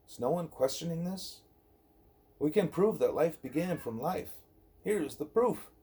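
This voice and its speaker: A man reading a scripted spiritual dialogue aloud as an audiobook narrator, deliberate and emphatic on rhetorical questions. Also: medium pitch, warm tone, breathy edge. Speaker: audiobook reader.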